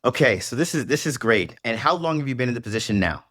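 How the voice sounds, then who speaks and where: A man's voice: mid-range voice, animated, fast, fairly bright tone, dry recording, studio quality. A man hosting a podcast interview, encouraging and upbeat, often repeating words as he starts a thought. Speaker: podcast host.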